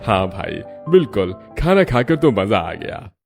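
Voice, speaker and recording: Casting: man as middle-aged family father, kindly, low pitch, Hindi cartoon, light music bed. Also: big pitch swings, measured pace, creaky, full character voice. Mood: pleased. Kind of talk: Hindi cartoon story voice acting.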